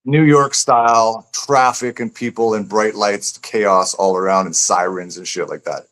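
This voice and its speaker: A man's voice: fairly low pitch, fairly even intonation, measured pace, fairly bright tone, low-quality recording. A man speaking as a guest author in a casual live interview, reflective and rambling, repeating phrases as he thinks aloud.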